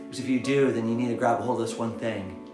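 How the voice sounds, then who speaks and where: A man in his thirties giving a short sermon straight to camera, earnest and animated, dropping to a soft hush when he prays. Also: mid-range voice, quick pace. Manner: earnest, animated, swings between loud urging and soft prayer. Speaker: pastor. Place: bright home room with windows, indoors. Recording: echoey room, faint music behind.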